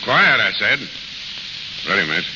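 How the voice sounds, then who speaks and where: Smug American private eye, brisk, bossy, low voice, muffled 1930s radio drama recording. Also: male voice, sing-song, background noise. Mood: commanding. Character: gruff, smug male private detective, bossy.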